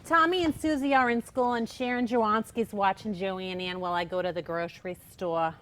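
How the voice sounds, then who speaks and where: A woman's voice: medium pitch, steady tone, fairly quick, a bit bright, close to an everyday voice. An actress playing a teasing 1950s big sister and mother in a staged play reading, with casual, dry humour. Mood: probing. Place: theatre stage.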